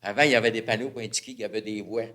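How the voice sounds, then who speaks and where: A man's voice: on the low side, steady tone, racing, bright, forceful tone. A man with a Québécois accent, a local citizen, making a rambling, hesitant comment at a city council question period. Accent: Québécois French. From Val-d'Or, Quebec. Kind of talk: casual public comment.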